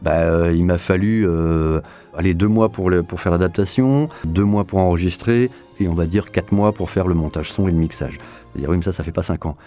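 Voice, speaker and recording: A man's voice: deep voice, fairly quick, mellow, soft background music. A man talking reflectively in an interview as a director, explaining and rephrasing with repeats for emphasis. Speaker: audio drama director being interviewed.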